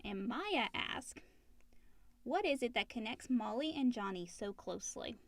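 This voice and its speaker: A woman's voice: a little high, lively intonation, measured pace, no echo. A woman speaking casually as a co-host who reads out and discusses listener questions.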